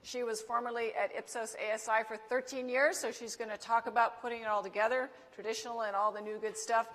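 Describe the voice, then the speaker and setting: A woman with a fairly high voice introduces the next speaker at a conference, loose and informal with small stumbles. The hall adds a long reverb to her voice. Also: little pitch movement, fairly bright tone. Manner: informal, a little halting.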